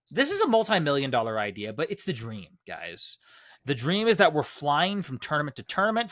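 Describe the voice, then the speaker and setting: A man's voice: medium pitch, a bit bright, no room sound, clean studio sound. A man hosting a casual group podcast, rambling with many fillers and restarts. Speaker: podcast host. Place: Los Angeles.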